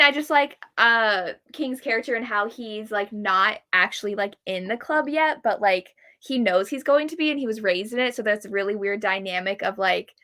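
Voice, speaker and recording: A woman co-hosting a live book discussion, casual and agreeable, often answering in quick short replies. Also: medium pitch, bright, forceful tone. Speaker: book club co-host.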